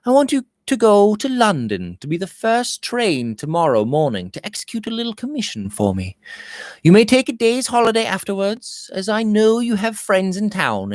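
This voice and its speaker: A man's voice: high voice, dry recording, studio quality, voice pushed up. A man voicing a vain, frivolous young lady of a Victorian manor in a gushing, haughty manner. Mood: coaxing, commanding. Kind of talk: Victorian novel read aloud.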